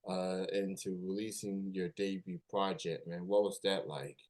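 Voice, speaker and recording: An upbeat man hosts a casual interview, his voice sitting a little low. He asks his questions loosely, with plenty of ums and restarts. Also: level tone, unhurried, a little mellow. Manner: upbeat, filler-heavy questions. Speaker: podcast host.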